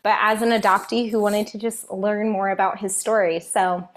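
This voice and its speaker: Enthusiastic woman, podcast host, friendly interviewer, mid-pitched voice. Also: steady tone, moderate pace. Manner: upbeat and enthusiastic.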